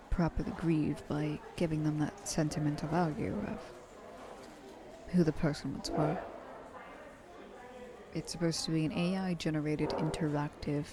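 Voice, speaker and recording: A woman's voice: deep voice, background noise. A woman voicing an ancient, seductive, possessive vampire trillionaire in an intimate, poised ASMR roleplay.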